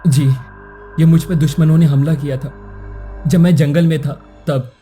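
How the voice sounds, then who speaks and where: A man voicing a kind, protective young prince in an animated moral story, speaking formally and courteously. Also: on the high side, moderate pace, soft background music, natural voice.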